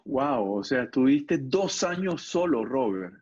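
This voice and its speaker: A man's voice: mid-range voice, very animated, unhurried, a little mellow, lo-fi sound. A man interviewing a guest in a casual, conversational style.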